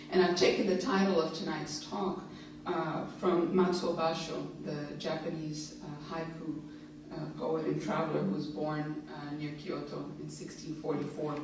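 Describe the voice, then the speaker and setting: A middle-aged woman gives a reflective lecture in a big hall, pausing often with soft fillers. Her voice sounds far from the mic and rings with heavy echo, with noise underneath. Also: low voice. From Cuban family in Miami. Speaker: author giving a public lecture. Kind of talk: lecture with poetry readings.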